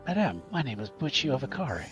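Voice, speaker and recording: Man playing a bright, charming dwarf gentleman. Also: mid-range voice, big pitch swings, brisk, lo-fi sound, soft background music. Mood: gallant. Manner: polite, gallant.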